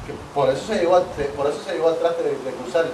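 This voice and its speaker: A man's voice: fairly high pitch, quick pace. A man in his thirties with a Cuban accent, chatting in an interview on an outdoor patio, repeating and restarting his phrases emphatically. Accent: Cuban Spanish. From Cuba. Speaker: pigeon breeder guest. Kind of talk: casual interview. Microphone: far camera. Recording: echoey, distant camera audio, noisy.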